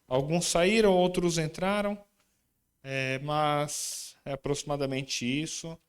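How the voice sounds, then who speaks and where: A man preaches to his church with an informal, chatty manner, joking with the congregation between points. His voice sits a bit high and comes across smooth and clear, as if he's talking right to the room. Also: fairly even intonation, slow. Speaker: visiting preacher leading a student group. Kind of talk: sermon. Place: church sanctuary.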